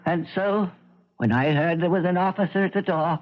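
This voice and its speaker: A male actor plays a formal man justifying himself in a radio mystery. His voice sits fairly high and his phrasing is measured. The sound is a dull seventies broadcast. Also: close to an everyday voice. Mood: self-justifying. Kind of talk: 1970s radio drama acting.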